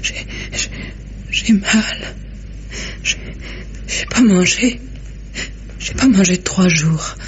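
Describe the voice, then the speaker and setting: Exhausted, frightened female victim, played by an actress, old radio melodrama. Plaintive, halting lines, dull slightly noisy sound. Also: mid-range voice, close to an everyday voice. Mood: weak, distressed. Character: frightened, weakened woman, starved victim of her husband. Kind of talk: old French radio drama acting. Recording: dull old radio recording, faint noise.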